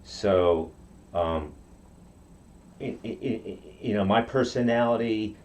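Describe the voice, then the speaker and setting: A man raised in Okinawa whose first language is Japanese, telling his story in a halting interview with many restarts. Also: fairly low pitch, a little mellow, slight background noise. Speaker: guest.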